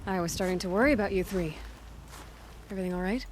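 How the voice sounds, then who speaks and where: A woman playing a calm, kind and capable young huntress in a video game cutscene. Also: medium pitch, fast, a little hiss or hum, close to an everyday voice. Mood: calm, friendly. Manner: calm, direct, sympathetic. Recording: music behind the voice.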